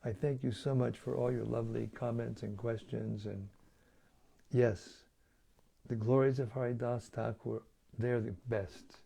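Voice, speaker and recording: A hoarse man losing his voice, hosting a daily scripture reading in a relaxed, chatty way over an online video stream. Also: mid-range voice, a little slow. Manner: relaxed, chatty, with light chuckles. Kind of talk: casual talk and Q&A on scripture.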